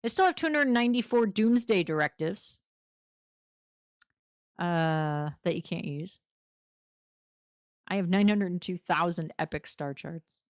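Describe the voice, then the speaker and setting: Woman, low and gravelly, hoarse from a long session; chatty, rambling gamer, recorded dry. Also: lively intonation, measured pace, long pauses, no echo. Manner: rambling, talkative, self-deprecating. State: voice going hoarse after hours of talking. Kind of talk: casual chat while playing a game.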